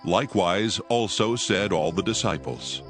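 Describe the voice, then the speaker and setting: A man with a very low voice narrates scripture from a script. Prominent music runs behind his reading. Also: animated, a little slow, fairly bright tone.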